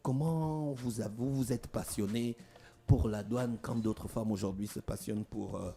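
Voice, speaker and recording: A man's voice: fairly low pitch, normal speed, gravelly, warm tone. A man hosting a morning radio show, asking casual, playful questions. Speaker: radio host. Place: radio studio.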